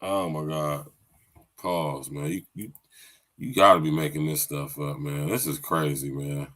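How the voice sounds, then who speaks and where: Bright low-voiced man reacting in disbelief. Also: steady tone, unhurried. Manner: incredulous, laughing, slangy asides. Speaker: podcast host. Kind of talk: reaction commentary.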